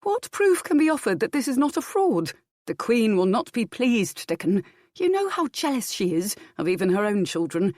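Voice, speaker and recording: Woman voicing a skeptical, pompous prior, lifted pitch. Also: sing-song, moderate pace, darkish tone, no room sound, studio quality. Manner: questioning, formal, archaic speech. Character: portly youthful-faced prior, skeptical, pompous cleric.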